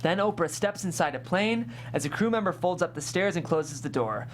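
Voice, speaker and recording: A woman hosting and narrating, speaking in a clear presenter's way as she reads or introduces. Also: on the low side, expressive, fairly quick, a little hiss or hum. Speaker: host and stage-directions narrator. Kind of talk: scripted narration and interview hosting.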